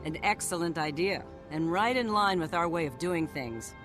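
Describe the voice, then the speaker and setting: An actor playing a confident male assassin of a secret order in a fantasy video game, deliberate and coolly eager. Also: fairly high pitch, animated, a bit bright. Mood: confident, approving. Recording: prominent music behind the voice.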